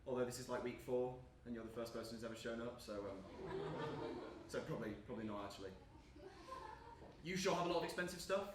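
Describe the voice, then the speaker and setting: A man's voice: medium pitch, fast, long pauses, natural voice. A young man acting on stage as an awkward, eager, lonely gamer, rambling and apologising nervously. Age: young adult. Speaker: actor in a stage play. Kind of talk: live stage acting, naturalistic dialogue. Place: indoor stage performance space. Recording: echoey room from far off, background noise.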